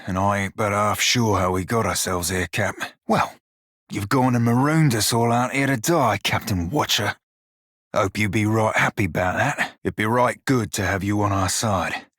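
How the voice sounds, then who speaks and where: An actor playing a gruff, foul-mouthed furry pirate shipmate in a video game, with a rough-edged voice and wry, plain-spoken salty sailor's dialect. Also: male voice, lowish voice, a bit bright, dry recording, studio quality. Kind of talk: video game character dialogue.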